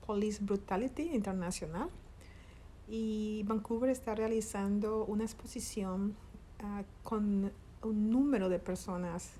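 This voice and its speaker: A middle-aged woman from Colombia, interviewed about losing her son, who talks haltingly and often restarts as she searches for words. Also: medium pitch, warm tone, a little hiss or hum. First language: Spanish. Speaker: interviewee, grieving mother. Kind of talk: conversational interview.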